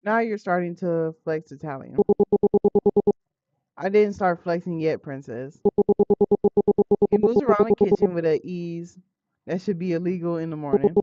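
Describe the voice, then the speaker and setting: Casual streamer narrating a visual novel, expressive and slangy, slightly muffled audio over soft music. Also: medium pitch, no room sound. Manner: informal, expressive, breaking into asides and slang. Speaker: game streamer. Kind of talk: reading a visual novel aloud with casual live commentary.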